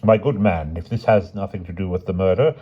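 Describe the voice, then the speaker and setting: A genial gentleman investigator from an audiobook mystery, acted by a man. He speaks with formal, old-fashioned courtesy and a sociable, amiable manner. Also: deep voice, a little fast, husky, dull audio. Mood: concerned.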